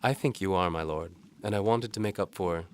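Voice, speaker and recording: Man voicing a forward young maid, pitched high. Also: fairly quick, slight background noise, natural voice. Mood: earnest.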